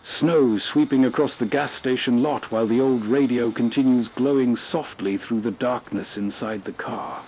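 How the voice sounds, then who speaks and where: Male host, atmospheric scripted narration, middle register, unhurried and folksy, some noise behind. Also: mid-range voice, darkish tone.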